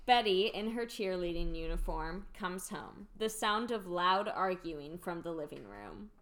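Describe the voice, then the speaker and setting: A woman's voice: lowish voice, expressive, unhurried, a bit bright. A woman reading stage directions as the narrator of a scripted amateur radio play.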